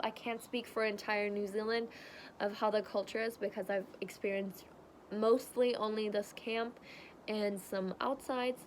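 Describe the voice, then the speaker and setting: A woman's voice: mid-range voice, low-quality recording. A young woman from Germany with a German accent, chatting casually in a vlog and rambling with lots of fillers. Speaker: vlogger. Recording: faint background noise.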